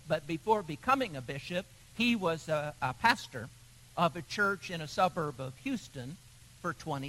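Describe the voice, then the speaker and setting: A man preaching a sermon, telling stories in a measured way with pauses. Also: a little high, fairly bright tone, rough recording. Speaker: pastor.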